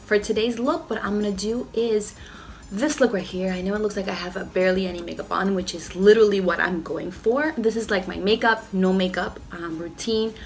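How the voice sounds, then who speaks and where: A young woman with a cold, talking through a casual makeup tutorial at home in a nasal, rough, low voice, filling her sentences with "like" and "you know". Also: brisk. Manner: chatty, lots of "like" and "you know". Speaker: beauty vlogger.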